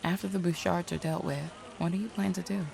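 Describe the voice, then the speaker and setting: A woman's voice: on the low side, fairly even intonation, a little fast, gravelly, a little mellow. A woman playing a confident, streetwise supernatural detective in an audio roleplay, drawling folksy slang in a teasing way. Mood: blunt, knowing.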